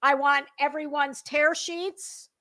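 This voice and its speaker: A woman's voice: sits high, steady tone, slow, pushed and bright, voice pushed up, exaggerated voice. A woman playing an imperious fashion magazine boss, haughty, bossy and emphatic. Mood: imperious, demanding.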